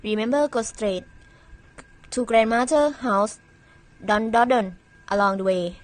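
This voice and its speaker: Female performer for kindly maternal storybook role: caring, instructive, slightly high voice, amateur play. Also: fairly even intonation, measured pace, lightly acted. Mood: caring, cautioning. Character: caring mother, also kindly grandmother.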